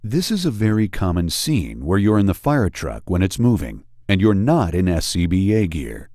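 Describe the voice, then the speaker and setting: A man reading scripted instructional narration for a training video, deliberate and emphatic. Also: fairly low pitch, dark tone, no echo, clean studio sound. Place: studio voice-over.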